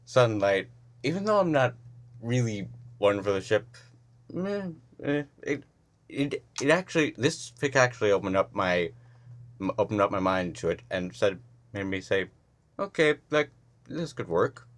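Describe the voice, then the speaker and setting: A man with a lowish voice giving relaxed commentary after a story reading. He rambles, stumbles over words and restarts his sentences. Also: unhurried. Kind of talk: casual commentary.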